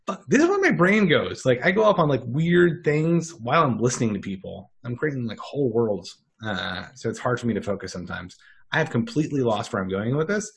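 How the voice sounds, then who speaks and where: A man's voice: medium pitch, very animated, a little fast, vocal fry. A man chatting on a podcast, rambling off on tangents and swearing casually. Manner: rambling, profane, tangent-prone. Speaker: podcast host.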